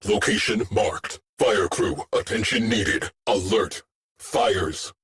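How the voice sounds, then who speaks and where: An actor playing a terse, stoic military starship AI in a video game, giving clipped, deadpan orders and status callouts. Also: male voice, lowish voice, slow pace. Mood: stern, clipped. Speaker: ship's tactical AI officer.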